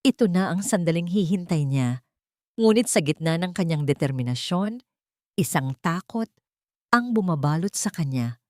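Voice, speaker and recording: A woman's voice: fairly low pitch, expressive, normal speed, darkish tone, no echo, studio quality. A woman reading a scripted dramatic love story as its narrator.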